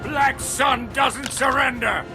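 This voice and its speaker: A man's voice: very high-pitched, fairly even intonation, slow pace, gravelly, over music, exaggerated voice. An actor voicing an indignant, combative male gang boss in a sci-fi video game. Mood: defiant. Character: aggrieved, hot-tempered male gang boss villain.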